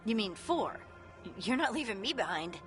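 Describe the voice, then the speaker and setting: Plucky, lively-pitched fighter. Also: female voice, normal speed, soft background music. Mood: stubborn, plucky. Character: plucky, stubborn female fighter. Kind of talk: dramatic video game cutscene acting.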